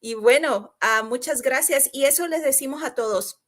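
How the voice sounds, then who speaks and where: A woman with a fairly high voice hosts an online video call. She talks in an upbeat, encouraging way, often restarting her phrases as she pitches a business opportunity. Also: normal speed. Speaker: host and business coach.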